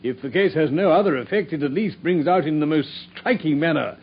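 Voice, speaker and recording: An actor with an English accent playing a genial gentleman doctor, companion to a detective, in formal, measured vintage radio drama style with a husky voice. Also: on the high side, slight background noise, natural voice. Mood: wry, amused. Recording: dull, lo-fi vintage radio recording.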